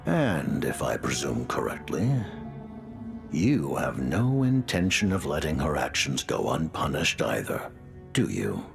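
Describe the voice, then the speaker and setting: Older game leader, very deep, dramatic swoops in pitch, solemn, formal, music behind. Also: male voice, big pitch swings, measured pace, heavily acted. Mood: grave, commanding. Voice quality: deep, gravelly. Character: grave, stately older male leader of a secretive organization. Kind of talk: theatrical video game voice acting.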